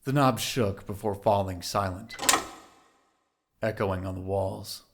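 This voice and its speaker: A man's voice: lowish voice, slow, heavy background noise. A man narrating a scripted audio drama in a dramatic storytelling style.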